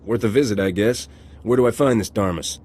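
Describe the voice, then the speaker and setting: An actor playing a cocky, tough starship smuggler captain in a video game, with a dry, brash, laconic delivery. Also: male voice, on the low side, a little fast, a little mellow, close to an everyday voice.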